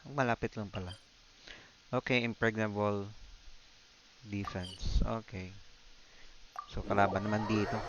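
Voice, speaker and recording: A man's voice: lowish voice, muffled recording, slight background noise. A man with a Filipino accent giving casual live gameplay commentary, muttering to himself as he mixes Tagalog and English. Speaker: video game streamer. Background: video game music and effects.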